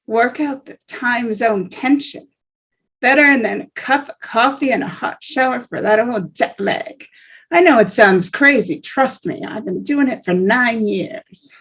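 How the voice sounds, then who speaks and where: A woman reads a talkative businessman passenger who can't help handing out travel advice. The voice sits a little high and stays friendly and confiding throughout, over a video call. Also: measured pace, a bit bright, slightly rough. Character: chatty male businessman passenger, a know-it-all.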